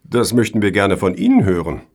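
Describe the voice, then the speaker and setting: An actor as a formal, probing man on a selection panel in a radio satire. He questions in a lowish voice with very mobile intonation. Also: wildly swooping pitch, fairly quick, a little mellow. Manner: formal, inquisitorial. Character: probing, formal male foundation board member on selection panel. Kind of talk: satirical radio play dialogue.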